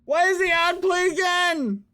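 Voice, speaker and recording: A man's voice: very high voice, a little slow, bright, forceful tone. A man live streaming, chatting casually with his viewers in a rambling, playful, mock-indignant way. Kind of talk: casual chat with stream viewers while gaming.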